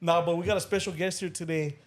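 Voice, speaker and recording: Teasing co-host over loud noise. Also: male voice, sits high, steady tone, fast talker. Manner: teasing, swears freely. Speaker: podcast co-host. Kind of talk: casual podcast chat.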